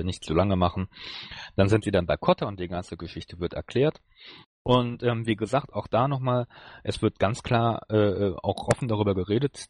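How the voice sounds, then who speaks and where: A man co-hosting a podcast, casually reviewing an episode in a halting way as he searches for words. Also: fairly low pitch, dry recording. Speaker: podcast co-host.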